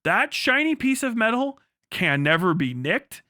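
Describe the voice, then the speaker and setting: A man livestreaming in a casual, crude, profanity-laced storytelling style as he explains things in an animated way. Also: high-pitched, unhurried, no room sound, clean studio sound. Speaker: livestream host.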